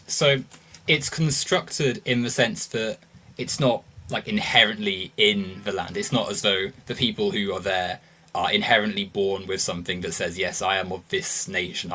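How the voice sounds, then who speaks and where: A British man from the UK, explaining his views in a casual political discussion, measured and full of fillers. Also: lowish voice, bright, forceful tone. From United Kingdom. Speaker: guest.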